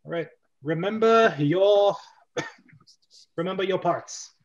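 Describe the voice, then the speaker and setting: Higher-voiced man hosting, slightly dull sound. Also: slow. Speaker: host of a script reading club. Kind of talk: casual chat, handing out parts.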